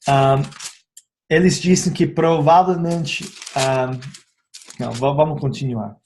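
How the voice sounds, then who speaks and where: A man's voice: a little high, slow pace, a little mellow, slight background noise. A man who is not a native speaker of the language gives a casual explanatory talk about essential oils, hesitating often and correcting himself. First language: not Portuguese. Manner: hesitant, frequent fillers and self-corrections.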